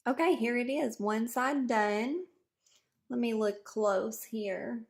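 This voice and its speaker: A woman with a hoarse voice from a cold, chatting her way through a beauty product review as a vlogger. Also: fairly high pitch, takes it slowly. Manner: chatty, explains each step as she goes. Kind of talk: casual product review to camera.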